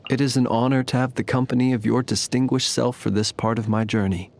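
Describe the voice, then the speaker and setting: A man voicing a calm, wry, ancient fae lantern keeper for a video game, speaking in formal, measured, theatrical phrases. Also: medium pitch, natural voice. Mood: dignified. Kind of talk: scripted video game character voice lines.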